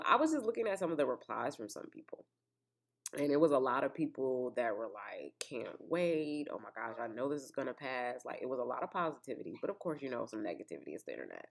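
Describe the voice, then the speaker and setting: A very deep-voiced woman hosts a solo podcast, talking to her listeners in a loose, chatty way. She peppers her talk with asides and fillers, and she still sounds slightly congested from a recent cough. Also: creaky. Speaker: podcast host. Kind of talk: casual solo podcast chat.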